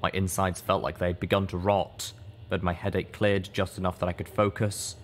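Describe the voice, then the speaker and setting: Casting: male audiobook narrator, deep-pitched, measured and dramatic, reading first-person fiction over faint music. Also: level tone.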